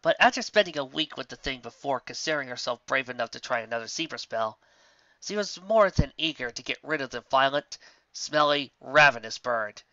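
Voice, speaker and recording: A man reading a fan fiction story aloud in an expressive storybook narration. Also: medium pitch, brisk, no echo, highs cut off.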